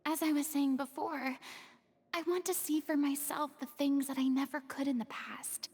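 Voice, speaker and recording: A woman voicing a remorseful, anxious young woman in a video game, soft, breathy and hesitant. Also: high voice, fairly even intonation, moderate pace. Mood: wistful. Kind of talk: video game cutscene dialogue. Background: faint game music.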